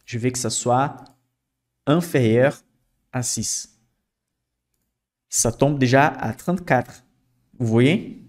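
Young guy, teaching stock filters on screen share, steady mid voice, lots of "ok?". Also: medium pitch, takes it slowly, long pauses. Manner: explanatory, frequent "ok?" checks and fillers. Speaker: investing channel host. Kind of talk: screen-share finance tutorial.

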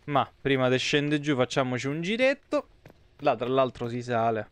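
Guy in his 30s streaming a game, clean smooth voice, swears a lot, dry wry chatter. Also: mid-range voice, expressive, moderate pace. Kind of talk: casual live gameplay commentary.

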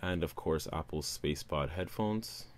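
A man, casually talking viewers through a phone unboxing in a relaxed, upbeat way. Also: rumbling low, a little slow. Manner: relaxed, upbeat presenter addressing viewers as guys. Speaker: tech reviewer.

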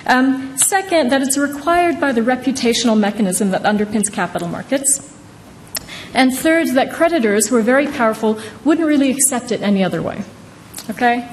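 Higher-pitched woman lecturing in an echoing hall. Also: expressive, normal speed. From Indonesia. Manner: conversational, frequently tags points with "right?". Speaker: law professor, author presenting her book. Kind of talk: informal academic talk at a podium. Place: large wood-panelled courtroom-style hall. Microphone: podium microphone. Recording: reverberant wood-panelled hall, some noise.

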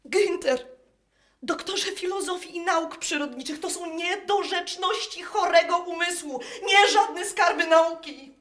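An actress playing a distraught, scornful wife in a radio drama, speaking with theatrical emotion. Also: female voice, very high voice, unhurried, fairly bright tone. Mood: scornful, incredulous. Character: distraught, scornful German wife pleading with her scientist husband. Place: live performance inside a wooden barn. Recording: echoey barn stage recording.

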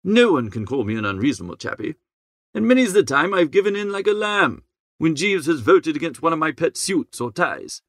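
A man acts out a breezy young idle gentleman narrating his own storybook tale. The voice is fairly high and swoops in a very lively way, chattering in jaunty old-fashioned slang. The recording is close and dry. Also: a little fast, no room sound, heavily acted. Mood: breezy, chatty. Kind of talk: scripted first-person audiobook narration.

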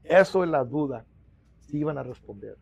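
A middle-aged man with a Mexican-American accent speaks on a lapel mic in a small office. His voice sits a little high and carries a gravelly edge. He tells his stories in Spanish, drops English words in, and restarts his phrases often. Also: normal speed, no room sound. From United States. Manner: mixes English into Spanish, many restarts, animated hand gestures. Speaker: union organizer guest. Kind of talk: storytelling interview.

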